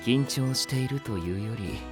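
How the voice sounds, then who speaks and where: A man's voice: medium pitch, normal speed, over music, lightly acted. A male actor playing a serene, elegantly polite young knight with a lightly teasing composure in an anime audio drama. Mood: composed, knowing.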